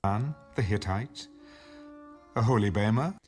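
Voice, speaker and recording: A man giving a formal, measured scripted narration of scripture, as in an audio Bible. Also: lowish voice, a little mellow. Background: background music.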